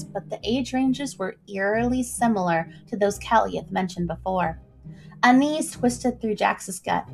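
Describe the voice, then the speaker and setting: A woman who tells stories and hosts a livestream, speaking in an informal, expressive way straight to her listeners. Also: high voice, rough recording. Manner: chatty, informal, addresses listeners directly. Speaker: storyteller and livestream host. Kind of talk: expressive story reading and casual livestream chat.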